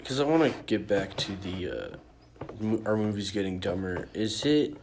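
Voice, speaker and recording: A man's voice: lowish voice, animated, moderate pace, slight background noise. A man co-hosting a podcast, chatting casually about films with friends. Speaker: podcast co-host. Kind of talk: casual film chat among friends.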